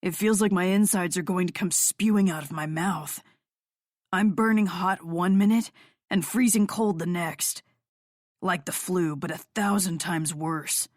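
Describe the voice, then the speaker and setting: Woman doing young man, sick, stubborn, hollow voice, low, storybook. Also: unhurried, a little mellow, no echo, studio quality. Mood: weak.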